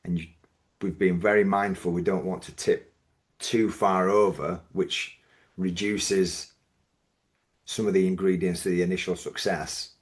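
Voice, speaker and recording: Yorkshire man, middle-aged, deep voice, measured reflective guest. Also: flat delivery. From Yorkshire, England. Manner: thoughtful, measured, with frequent restarts. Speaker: business owner guest. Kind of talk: reflective podcast interview.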